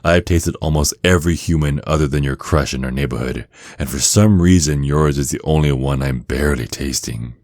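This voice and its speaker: An extremely low-voiced vampire acted out by a man, smug and possessive, speaks close and dry as if to a captive. The delivery is seductive, teasing and deliberate, in the manner of an intimate ASMR roleplay. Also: a little mellow, dry recording. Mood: smug, hungry. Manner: slow, teasing, deliberate, with breathy drops toward a whisper. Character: menacing, possessive male vampire neighbor, smugly seductive.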